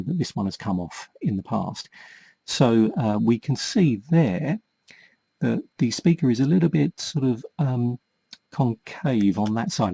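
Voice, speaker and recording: Male presenter, forties, for casual repair tutorial: very mellow, rough-edged voice, hesitant explanatory delivery. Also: mid-range voice. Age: 40s. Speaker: vintage toy repairer and presenter.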